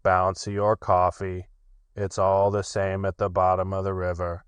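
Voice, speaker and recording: A man voicing a gloomy, sulky old male donkey with a slow, heavy, mournful drawl. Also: low voice, monotone, smooth, no room sound. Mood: gloomy, put-upon.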